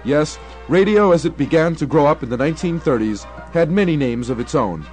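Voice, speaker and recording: Young male narrator, slightly high voice. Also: expressive, fairly quick, a little mellow, faint music behind. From United States. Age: young adult. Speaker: documentary narrator. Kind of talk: scripted radio documentary narration.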